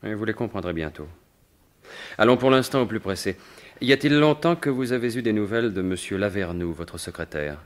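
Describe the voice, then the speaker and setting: An actor playing a confident, wry gentleman thief turned investigator in a French radio mystery in 1950s style, speaking in assured, formal, articulate phrases. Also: on the low side, animated, brisk, close to an everyday voice. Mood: probing, grave.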